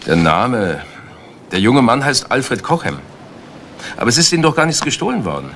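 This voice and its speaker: A man's voice: medium pitch, big pitch swings, normal speed, fairly bright tone. A man acting a matter-of-fact police detective in a German crime radio drama, speaking tersely and in a businesslike way. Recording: noisy retro radio play recording.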